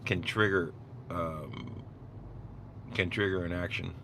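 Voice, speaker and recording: Low-voiced man chatting over car noise. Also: slow, fairly bright tone. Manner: informal, rambling. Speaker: livestream host listening to police scanner. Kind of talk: casual livestream chat and commentary. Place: in a vehicle on city streets. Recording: clear car and traffic noise. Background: vehicle and street noise.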